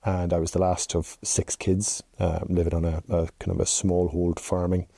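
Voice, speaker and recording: Irish guy in his 40s, podcast guest, low voice, pretty flat pitch, chatty and tells little stories. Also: moderate pace, vocal fry, no room sound. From rural Ireland. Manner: chatty, anecdotal. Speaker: podcast guest, chief executive. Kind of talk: conversational interview answers.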